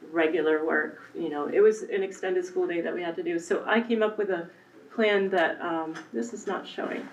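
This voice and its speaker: A woman's voice: on the low side, slight background noise. Woman teacher, conversational with ums, distant mic, roomy echo, muffled sound. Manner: conversational, hesitant with frequent ums. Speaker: elementary Spanish teacher. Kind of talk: presenting at a school committee meeting. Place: school meeting room. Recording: echoey room, distant, muffled.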